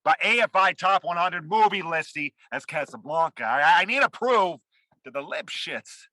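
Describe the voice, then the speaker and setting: A man's voice: high-pitched, animated, normal speed, pushed and bright, no echo, low-quality recording. A man playing a washed-up, blustering, desperate movie director in a table read, profane, theatrical and needy. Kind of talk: acted screenplay table read.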